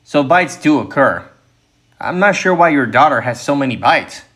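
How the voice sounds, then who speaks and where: A man's voice: mid-range voice, expressive, normal speed, a bit bright. A man voicing a confident, precise field biologist in an audiobook story, explaining things with assurance. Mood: assured.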